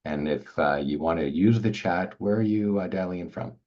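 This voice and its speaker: An older man from Montreal co-hosts a webinar from home, talking loosely and greeting viewers by name as they join. His voice is very deep, and the video-call audio comes through dull and lo-fi. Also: normal speed. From Montreal, Canada. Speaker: organization founder and webinar co-host. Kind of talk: casual webinar chat. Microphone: video call laptop mic.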